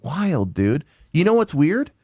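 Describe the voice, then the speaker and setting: A man's voice: fairly high pitch, sing-song, slow pace, darkish tone, no room sound, studio quality. A man hosting a casual interview in a laid-back way, often saying dude and man. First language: English. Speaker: podcast host, game store owner.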